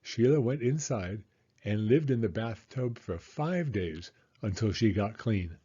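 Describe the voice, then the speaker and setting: Animated male storyteller with a soft-edged tone. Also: lowish voice, measured pace, lo-fi sound. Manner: expressive, clearly addressing young children. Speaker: storyteller, former school teacher. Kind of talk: animated children's picture book read-aloud.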